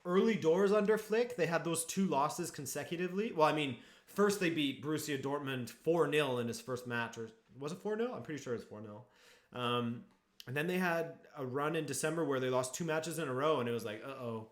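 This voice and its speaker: A man hosts a live football watchalong from home, talking straight to his viewers in an easy, chatty way. His voice sits a little high, and he reacts to match moments as he goes. The sound carries a noticeable room echo. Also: a little fast. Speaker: streamer hosting a football watchalong. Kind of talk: casual live-stream chat and match reactions. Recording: echoey room.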